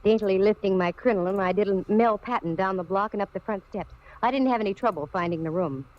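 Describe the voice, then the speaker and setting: An actress playing a breezy, self-assured, hard-boiled private detective in 1940s radio style. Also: female voice, mid-range voice, fast, lightly acted. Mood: wry narrating. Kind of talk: 1940s radio detective acting, narration and dialogue. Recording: muffled, noisy old radio recording.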